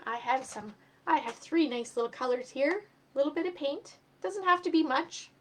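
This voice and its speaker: A woman hosting a children's storytime, expressive and speaking directly to young listeners as she reads and explains step by step. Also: sits high, normal speed, slight background noise. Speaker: storytime host and reader for children. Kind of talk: animated storybook reading and craft instruction for children.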